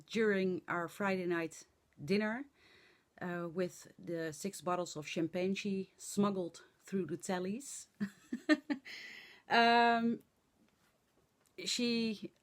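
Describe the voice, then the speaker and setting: A middle-aged woman with a Dutch accent, speaking English as a second language, chatting in a hoarse voice to camera in a rambling, halting way with many fillers. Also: fairly low pitch. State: hoarse from laughing and talking. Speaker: flosstube vlogger. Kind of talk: casual chat to camera.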